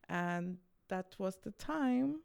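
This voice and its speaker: Persian-accented woman in an interview, talks in halting bits with lots of uh's, some vocal fry, dry close sound. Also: medium pitch, animated, a little mellow, no echo. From Iran. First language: Farsi. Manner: halting, phrase by phrase, with many pauses and fillers. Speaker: interview guest, singer. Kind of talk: interview answers in English.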